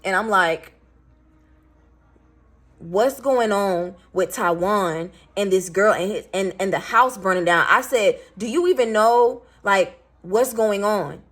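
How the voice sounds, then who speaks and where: A raspy-voiced woman in her thirties, a single parent venting bluntly and with swearing as she tells a story to her viewers. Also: mid-range voice, slow, a bit bright. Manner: blunt, profane, emphatic.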